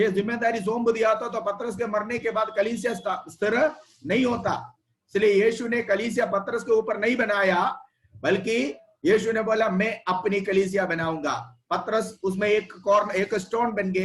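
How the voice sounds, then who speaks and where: A man with a high voice leads a Bible study over an online call. He repeats key words and prompts his listeners as he teaches. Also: fairly even intonation, moderate pace, a bit bright. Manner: repeats words, prompts the reader, checks if heard.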